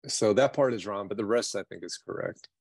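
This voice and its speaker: A man's voice: lowish voice, a little fast, creaky, a little mellow, slightly rough, no echo, studio quality. An American man from New York City, a singer-songwriter chatting casually in an interview in a rambling, slangy, self-deprecating way. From New York City, United States.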